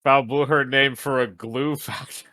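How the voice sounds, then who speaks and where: A man's voice: medium pitch, steady tone, moderate pace, bright, forceful tone, no room sound. A man chatting casually over a game who laughs easily and keeps asking for a second while he looks things up. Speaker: let's play co-host. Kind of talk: casual gaming chat.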